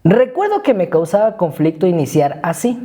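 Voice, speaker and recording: A man's voice: high-pitched, huge pitch swings, moderate pace, warm tone. A man in his thirties with a Mexican accent, co-hosting a podcast in a chatty, joking way and reading out his own essay. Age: 30s. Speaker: podcast co-host. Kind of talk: casual podcast chat.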